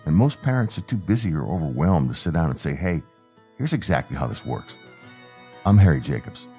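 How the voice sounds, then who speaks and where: Very deep gravelly man, ad read. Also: sing-song, a little fast, mellow, soft background music. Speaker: podcast host advertising his show. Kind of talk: scripted advertisement read.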